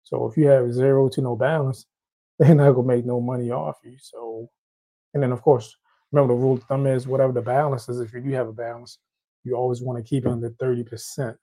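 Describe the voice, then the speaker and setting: A man's voice: mid-range voice, flat delivery, fast talker, warm tone, low-quality recording. A man from Haiti with a Haitian accent, hosting a casual question-and-answer show on credit, talking loosely and often restarting his phrases. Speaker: host.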